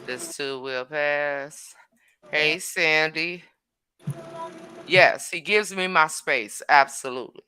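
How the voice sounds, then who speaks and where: A woman's voice: low-pitched, slow pace, pauses often, sharp tone, slight background noise. A woman co-hosting a podcast, chatting in a laid-back way and reading out listener comments. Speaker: podcast co-host. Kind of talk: casual chat.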